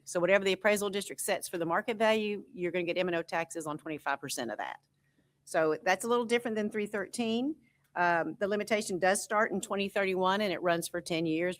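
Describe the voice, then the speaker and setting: A woman with a somewhat low voice walks a school board through tax figures. She explains patiently and conversationally, with frequent ums and asides. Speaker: school finance consultant. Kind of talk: board meeting presentation. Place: school board meeting room.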